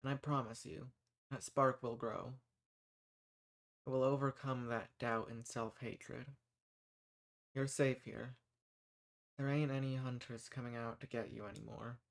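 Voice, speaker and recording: A man's voice: mid-range voice, fairly even intonation, measured pace, creaky, long pauses. A man playing a kind, folksy human rebel who earnestly reassures and shelters monsters in a scripted audio roleplay. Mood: gentle, comforting. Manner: folksy, reassuring, earnest, uses y'all and ain't. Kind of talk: scripted audio roleplay, speaking softly to the listener.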